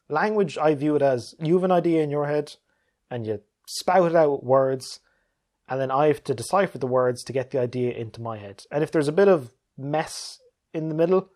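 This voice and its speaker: A man's voice: mid-range voice, a little mellow. A man hosting a casual question-and-answer video about games, talking in an easygoing, conversational way. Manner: easygoing, conversational, chuckles often. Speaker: YouTube gaming video creator and host.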